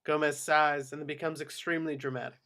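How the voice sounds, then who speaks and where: A man's voice: on the high side, flat delivery, a little fast, bright, forceful tone. A man reading out screenplay action directions as scripted narration at a table read. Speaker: action-line reader.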